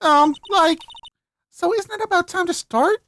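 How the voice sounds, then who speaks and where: A woman's voice: extremely high pitch, fairly bright tone, a little hiss or hum, voice pushed up, heavily acted. A woman voicing a ditzy young game character who speaks in hesitant, clueless, filler-laden valley-girl phrases. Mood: hesitant, airy. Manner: hesitant, filler-laden, slow-witted drawl.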